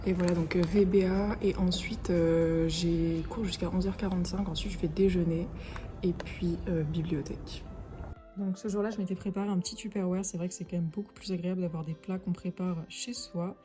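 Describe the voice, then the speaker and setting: Young woman, casual vlog chat, filler-heavy, mellow lowish voice, faint background music. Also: brisk. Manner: relaxed and chatty, many "euh" fillers. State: stuffy with a cold. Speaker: vlogger, finance master's student.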